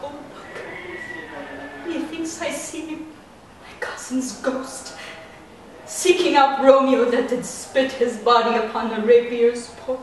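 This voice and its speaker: Woman doing scared young noblewoman in a stage tragedy, high voice, dramatic n desperate, super echoey room. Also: steady tone, moderate pace, few pauses, lightly acted. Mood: hushed terror. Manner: dramatic, swinging from hushed to frantic. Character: frightened, desperate young noblewoman dreading the tomb. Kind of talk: classical stage tragedy monologue. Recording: very echoey room, distant mic, faint noise.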